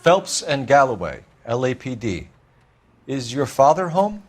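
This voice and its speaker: A man's voice: mid-range voice, very animated, measured pace. A man with an American accent playing an upright, by-the-book 1940s police detective in a video game, speaking in a formal, earnest, clipped way. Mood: polite, official.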